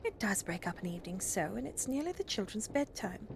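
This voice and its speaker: Genteel storybook mother, gentle yet firm and self-possessed, done by a female reader. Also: mid-range voice, sing-song, a little fast, slight background noise. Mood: mildly impatient. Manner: soft, genteel, controlled. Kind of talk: storybook character reading.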